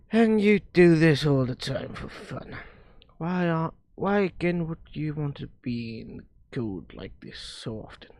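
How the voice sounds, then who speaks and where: A man streaming a visual novel, reading its dialogue aloud in a halting way with stumbles and rereads. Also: medium pitch, creaky, a little mellow.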